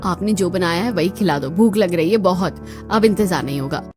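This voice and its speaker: A woman's voice: fairly low pitch, fairly quick, over music, natural voice. A woman voicing a young, suspicious sister-in-law in a Hindi cartoon story, whiny and nagging. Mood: sulky.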